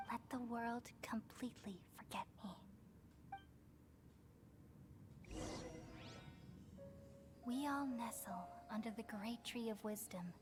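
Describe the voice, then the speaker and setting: A woman voicing a gentle, wise, selfless goddess in a video game, speaking in a solemn and measured way. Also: fairly high pitch, noisy background, lightly acted. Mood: tender, reassuring. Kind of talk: scripted video game voice acting. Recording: game cutscene with music behind.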